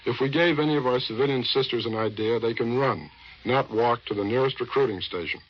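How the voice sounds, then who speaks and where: A man's voice: mid-range voice, fairly quick, slight background noise. Old 40s radio guy doing interviews, american, wry and folksy, muffled lo-fi sound. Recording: dull vintage 1940s radio recording.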